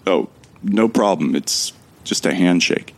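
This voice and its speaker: Super low gravelly guy telling his own story in an audio drama, friendly, kinda wry, amnesiac stranger. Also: a little slow. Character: Jake Fisher, amnesiac man, observant and friendly. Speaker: narrator and lead character of an audio drama. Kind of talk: first-person dramatic narration.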